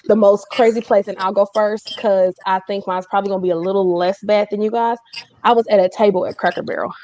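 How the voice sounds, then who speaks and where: A woman's voice: mid-range voice, a little mellow, slight background noise. A woman hosting a casual livestream chat, talking in an informal, chatty way with joking asides. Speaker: host of a music community livestream.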